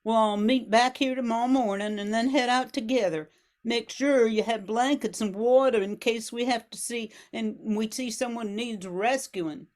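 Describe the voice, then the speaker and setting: High-voiced older bayou woman in charge, acted by amateur. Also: normal speed, lightly acted. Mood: instructing. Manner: plain, directive, stumbles over script. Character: Mary, practical bayou woman giving orders to shrimpers. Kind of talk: amateur radio play.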